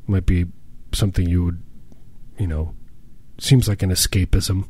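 A man co-hosting a podcast, chatting casually with deadpan jokes, stammering and a slightly nasal voice. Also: sits low, unhurried, breathy edge. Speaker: podcast co-host. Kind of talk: casual comedic chat.

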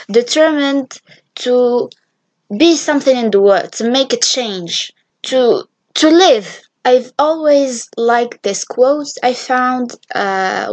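A nineteen-year-old woman whose first language is Arabic, hosting a casual solo podcast and chatting with frequent fillers and restarts. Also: on the high side. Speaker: podcast host.

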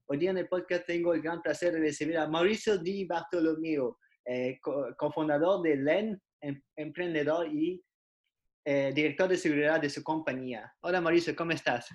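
A man's voice: on the high side, moderate pace. Male podcast host on a video call; hesitant delivery with frequent fillers. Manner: hesitant, many filler sounds, laughs along. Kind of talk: podcast interview hosting.

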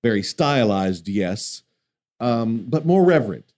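A man's voice: mid-range voice, very animated, slow, darkish tone. Chatty male theater interviewer. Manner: chatty, laughs easily. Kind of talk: conversational interview.

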